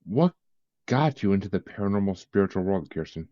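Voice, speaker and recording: A low-voiced man hosts a loose, joking podcast chat, cursing freely and laughing along. His sound is dull and lo-fi, with a dry, close feel and no room around it. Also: animated, brisk, warm tone, no echo. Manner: swears a lot, joking and laughing. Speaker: podcast host.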